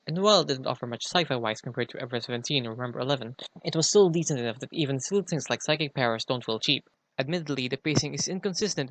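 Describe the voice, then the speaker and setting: Fast-talking man reading a scripted review. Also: medium pitch, gravelly, fairly bright tone, no echo, rough recording. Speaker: guest reviewer on podcast segment.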